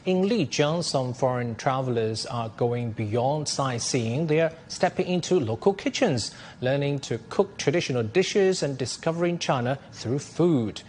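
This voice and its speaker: A man reading business news as a studio anchor, in a measured, formal way. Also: mid-range voice, animated, a little mellow, a little hiss or hum.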